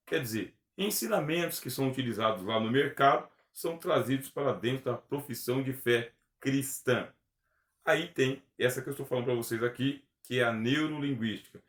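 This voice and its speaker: A man teaching a recorded theology lecture, earnest and emphatic, often asking rhetorical questions. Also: mid-range voice. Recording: echoey room.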